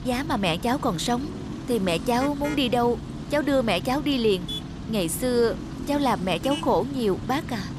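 A woman's voice: fairly high pitch, animated, a little fast, noisy background, lightly acted. A woman playing a polite, kindhearted young male motorbike taxi driver in a cartoon, respectful and earnest. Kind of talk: cartoon voice acting. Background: music.

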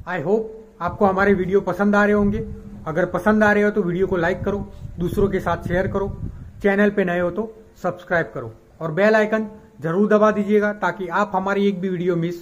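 High-voiced young man vlogging outdoors. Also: a little mellow, highs cut off, a little hiss or hum. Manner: chatty, explains with many pauses. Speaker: dairy farmer and vlogger. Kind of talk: casual farm vlog. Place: outdoors at an open cattle shed on a farm. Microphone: phone at arm's length.

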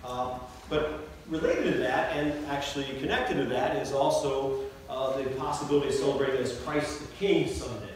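A man's voice: mid-range voice, moderate pace. Distant pastor in an echoey church, male. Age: middle-aged. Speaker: Lutheran pastor. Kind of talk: gospel reading and sermon. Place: church sanctuary at a pulpit. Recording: echoey church from far off, faint noise.

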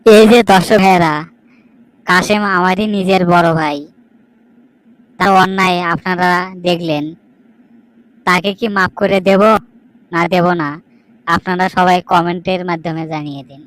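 A woman's voice: on the low side, fairly even intonation, moderate pace, long pauses, dry recording, natural voice, rough recording. A woman voicing a wronged, proud younger brother in an amateur cartoon, plaintive and declamatory. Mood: indignant.

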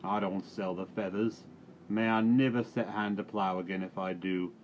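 A man's voice: sits low, steady tone, normal speed, close to an everyday voice. A man voicing a sullen farm labourer. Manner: sullen, grumbling. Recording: dull recording, faint hiss.

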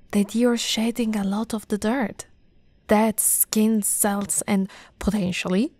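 Woman doing a teasing cuddly snake girlfriend, asmr roleplay, soft breathy and slow, super close dry mic. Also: medium pitch, dry recording. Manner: slow, breathy, coaxing delivery with pet names. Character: affectionate, teasing, doting young female lamia girlfriend. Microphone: close, dry microphone.

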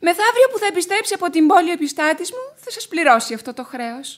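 An actress playing a proud, mournful widow with a formal, dramatic radio theatre delivery. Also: female voice, very high-pitched, sing-song. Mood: composed. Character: proud, mournful young widow, mistress of the house. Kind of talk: classic Greek radio theatre acting.

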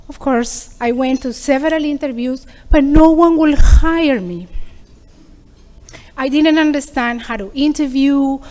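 A woman's voice: sits high, a little mellow. A middle-aged woman from Peru, giving an earnest prepared speech as a program graduate, with small restarts. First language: Spanish.

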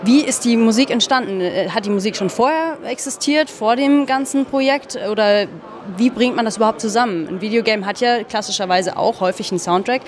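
A woman interviewing festival guests, asking questions in a brisk, friendly way. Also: fairly high pitch. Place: indoor room at a festival. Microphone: handheld mic.

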